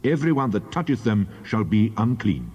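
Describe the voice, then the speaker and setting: A man with a low, dark, slightly husky voice reads scripture solemnly. His deliberate, declamatory delivery rings out in a long hall reverb. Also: sing-song, lo-fi sound. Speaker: Bible reader.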